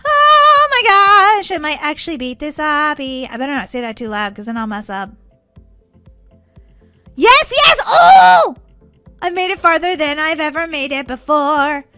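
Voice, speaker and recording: Excitable girly woman doing gameplay commentary, super high n bright, kinda childlike, bit of music behind. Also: a little fast, few pauses. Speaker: gaming video host.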